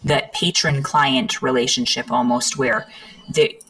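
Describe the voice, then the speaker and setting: An extremely deep-voiced woman hosting a podcast interview, her tone gravelly with fry. She listens closely, dropping soft affirmations, and reads her episode introductions in a steady scripted way. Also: measured pace, poor audio. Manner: attentive listener, frequent soft backchannels. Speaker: podcast host.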